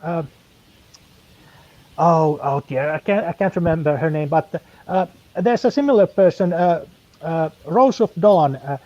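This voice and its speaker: A man whose first language is Finnish chats as a guest on a stream. He speaks haltingly, filling the gaps as he hunts for words, in a slightly high voice. The sound comes through dull and lo-fi. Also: darkish tone, dry recording. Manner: halting, full of fillers and restarts while searching for words. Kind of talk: casual live-stream chat.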